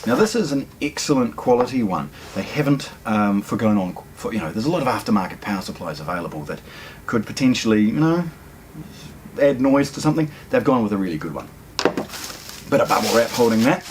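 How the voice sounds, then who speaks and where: A middle-aged New man with a low voice that swings up and down a lot as he walks through a product unboxing. He sounds chatty and keen, dotting his talk with ums and false starts. He speaks indoors in a hi-fi store, with a faint hiss of noise behind him. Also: lively intonation, brisk. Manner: chatty, enthusiastic, frequent ums and restarts. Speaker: hi-fi store presenter. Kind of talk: casual product unboxing walkthrough.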